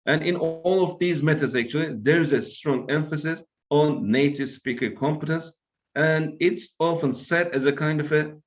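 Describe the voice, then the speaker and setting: A man's voice: mid-range voice, lo-fi sound. A man from Turkey with a Turkish accent, giving an academic lecture and often checking in with "right?". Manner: explanatory, tags points with "right?".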